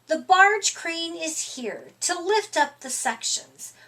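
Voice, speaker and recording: Animated, high-pitched woman reading a children's story. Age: middle-aged. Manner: deliberate, emphatic, theatrical delivery for young children. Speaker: storytime reader. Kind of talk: expressive children's picture book read-aloud. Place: small furnished room indoors.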